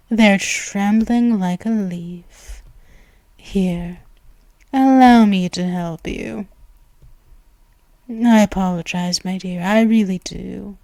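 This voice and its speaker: A seductive, menacing vampire queen, played by a woman, purring her words close to the mic with a slow, unhurried drawl. She drops into soft whispers often. The recording is dry and intimate, with no room sound. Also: medium pitch, no room sound. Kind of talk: ASMR audio roleplay.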